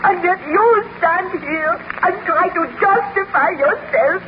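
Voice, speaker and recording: A woman's voice: very high voice, unhurried. A woman in a 1930s radio drama playing an anguished, reproachful fiancee of a scientist, melodramatic and formal. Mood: bitter reproach. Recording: noisy, muffled 1930s radio recording.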